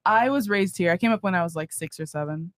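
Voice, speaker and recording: A woman's voice: lowish voice, fast talker. A twenty-one-year-old woman from Jamaica giving short, laid-back interview answers. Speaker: interview guest.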